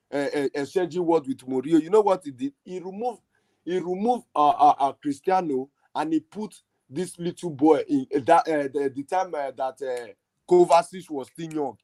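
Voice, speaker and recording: Football podcast guy ranting hard, kinda high voice, dead dry mic. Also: warm tone, no room sound. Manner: passionate, argumentative, emphatic. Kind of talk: heated casual fan debate.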